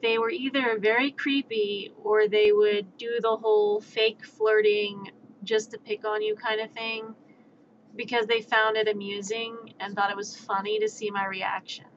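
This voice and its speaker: A woman's voice: mid-range voice, level tone, unhurried. A woman live streamer chatting casually in a joking, playful, sweary way, who grew up with Spanish and sign language as first languages. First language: American Sign Language and Spanish. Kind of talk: casual chat with acted-out back-and-forth dialogue. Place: indoor livestream. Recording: dull lo-fi mic, faint background noise.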